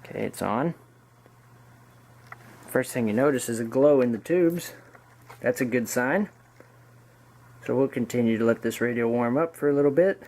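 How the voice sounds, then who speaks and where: A man's voice: mid-range voice, normal speed, pauses often, a little mellow. A man giving a casual, hands-on demonstration, thinking aloud informally as he works. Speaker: vlogger demonstrating an electronics restoration. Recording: background noise with mains hum.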